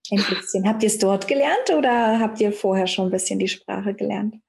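A woman's voice: mid-range voice, normal speed, creaky. A woman hosting a casual interview, supportive and agreeing, asking follow-up questions. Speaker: podcast host.

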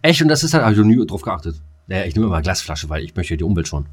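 Low-voiced man, flippant podcaster. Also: big pitch swings, very fast, husky. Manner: flippant, slangy. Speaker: podcast co-host. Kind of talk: casual chat.

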